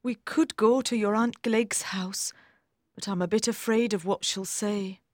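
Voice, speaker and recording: A woman's voice: medium pitch, steady tone, normal speed, darkish tone, dry recording, clean studio sound, close to an everyday voice. A woman voicing an anxious, loving middle-aged mother who speaks tenderly and with worry. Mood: tender, worried. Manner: tender, worried.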